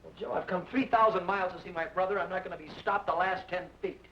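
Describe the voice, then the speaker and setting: A man's voice: high-pitched, quick pace, recorded from a distance. An actor playing a brash, cocky American man searching for his brother in an old radio drama, wisecracking and pushy. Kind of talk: 1940s radio acting. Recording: dull lo-fi old radio recording with faint hiss.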